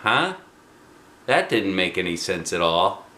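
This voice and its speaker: Male storybook narrator, expressive and dramatic, wide pitch swings, measured pace, roomy recording. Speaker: storybook reader, a dad. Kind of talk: animated children's book read-aloud.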